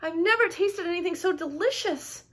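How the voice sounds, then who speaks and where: A woman's voice: fairly high pitch, animated, brisk, a bit bright. A woman voicing an eager, boisterous young male woodland creature in a storybook, exclaiming with enthusiasm. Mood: delighted.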